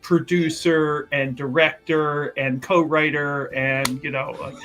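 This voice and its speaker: A man's voice: fairly high pitch, flat delivery, slow, music in the background, rough recording. A man narrating a tabletop game as game master, rambling and joking with many fillers.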